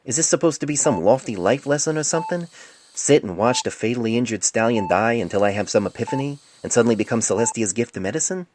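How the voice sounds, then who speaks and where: A man's voice: mid-range voice, animated, fast talker, noisy background, pitched above the performer's own voice, natural voice. A man voicing a detached, awkward young pony doctor in a story, cynical and given to sarcastic muttering, recorded on a cell phone. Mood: exasperated. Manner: irritable, sarcastic muttering to himself.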